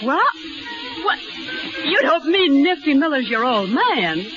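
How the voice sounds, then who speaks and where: Brassy flirtatious showgirl, very animated. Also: sits high, slow, exaggerated voice. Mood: amused, surprised. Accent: American. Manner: slangy, wisecracking, sassy. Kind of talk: 1930s radio drama acting. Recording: muffled 1930s radio broadcast, music.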